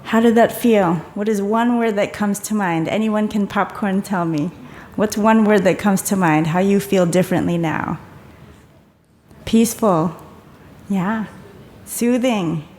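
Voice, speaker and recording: Woman conference presenter, calm and guiding, mid-pitched voice, reverberant hall. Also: unhurried, slight background noise. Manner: calm, guiding, leads audience through exercises. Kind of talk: conference talk.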